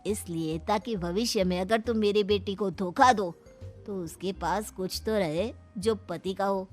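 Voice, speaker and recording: A woman voicing a scheming middle-aged father of a bride in a cartoon moral story, taunting and threatening with drawn-out emphasis. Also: mid-range voice, a little mellow, faint music behind, lightly acted. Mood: smug. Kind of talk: animated moral story dialogue.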